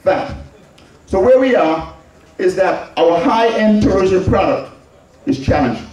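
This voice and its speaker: A man with an Anguillan Caribbean accent giving a political public address in an emphatic, deliberate manner, repeating phrases for stress. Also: high-pitched, animated, a little mellow. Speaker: public speaker addressing a community. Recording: very echoey public address, distant mic.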